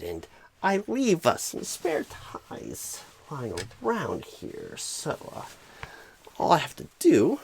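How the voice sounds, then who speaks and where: A clueless, flustered male job recruiter for an ASMR comedy roleplay. His voice is husky and gravelly, leaping up and down in pitch. He talks slowly, stammering and breaking phrases in odd places. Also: heavily acted. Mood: rambling self-assurance. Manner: halting, stammering, odd pauses and sudden swoops.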